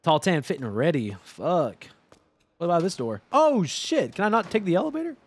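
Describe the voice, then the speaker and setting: Young male streamer, high-pitched, swings all over, loud and sweary, headset mic. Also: moderate pace, slightly rough. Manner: loud, swearing, joking, animated. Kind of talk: casual gameplay commentary with chat. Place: home gaming room, indoors.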